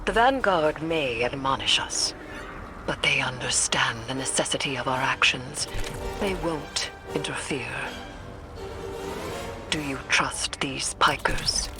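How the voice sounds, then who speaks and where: A stern, steadfast mystic woman from a video game. She speaks slowly and formally, with portentous, often breathy weight. Music swells behind her. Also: a bass voice. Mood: wary. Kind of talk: video game cutscene acting.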